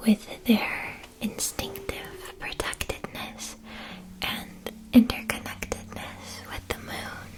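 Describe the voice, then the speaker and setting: A woman whispering an ASMR roleplay as a polite, attentive witch-school shopkeeper, explaining in measured, deliberate phrases. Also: fairly high pitch, poor audio.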